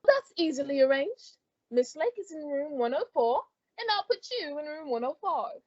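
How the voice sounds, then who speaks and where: A woman's voice: high-pitched, very animated, normal speed, darkish tone, highs cut off. A woman playing a polite, theatrical hotel clerk in a 1940s-style radio comedy. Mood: polite, helpful.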